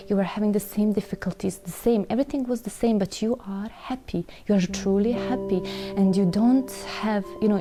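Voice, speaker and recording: A woman with a Serbian accent tells her personal story in halting, earnest non-native English. Her voice sits at a medium pitch, with loud instrumental music playing behind it. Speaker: interview guest telling her conversion story. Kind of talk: personal testimony.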